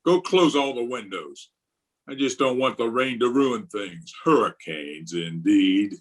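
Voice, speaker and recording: A man's voice: mid-range voice, animated, unhurried. A man playing an exasperated, pompous middle-aged school principal in an old-time radio comedy style. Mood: blustering, outraged. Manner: pompous, indignant, theatrical. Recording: remote video-call recording.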